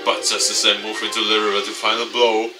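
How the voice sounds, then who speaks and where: Male narrator for a game story, on the low side, expressive delivery, recorded off-mic over prominent music. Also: a little fast, recorded from a distance. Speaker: gaming YouTuber. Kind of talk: dramatic read-aloud of visual novel narration.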